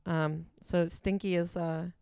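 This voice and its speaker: A young woman with a Wisconsin accent talks in a chatty, easygoing way, with lots of "like" and "um". Her voice sits a little low and sounds smooth and clear. It is recorded close and dry, with no room sound. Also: level tone, slow, mellow, no echo. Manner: chatty, frequent "like" and "um", laughs easily. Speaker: musician guest. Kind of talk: casual interview chat.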